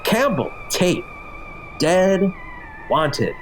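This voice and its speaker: A man's voice: high-pitched, wildly swooping pitch, drawn-out words, slight background noise, full character voice. A man playing a menacing undead gunslinger in a western horror audio drama, taunting in a drawl. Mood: grim. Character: sinister undead gunslinger stranger, soul-collecting male spirit.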